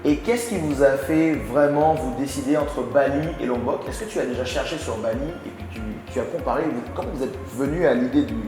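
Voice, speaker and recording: A man's voice: mid-range voice, fairly quick, few pauses. A man hosting an interview, asking questions casually and often affirming the guest with short replies. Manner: friendly, frequent short affirmations. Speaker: host, interviewer. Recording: echoey room with faint music.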